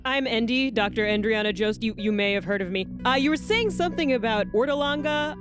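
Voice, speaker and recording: A woman's voice: a little high, a little fast. A woman improvising casual, joking banter as a player in a tabletop role-playing game, brash and repeating her phrases, over music. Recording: loud music under the voice.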